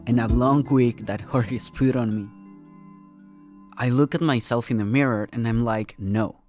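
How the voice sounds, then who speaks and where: A young man tells a first-person story as scripted narration in a reflective, conversational way. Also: mid-range voice. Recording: faint music bed behind. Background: soft music.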